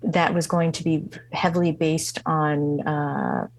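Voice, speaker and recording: A woman guest recounts a personal story in a slow, reflective way, pausing often and restarting her sentences. Her voice sits a little low for a woman, and it is recorded dry, with no room sound. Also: fairly even intonation, creaky, dry recording. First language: not English. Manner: halting, many fillers and restarts. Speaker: guest musician.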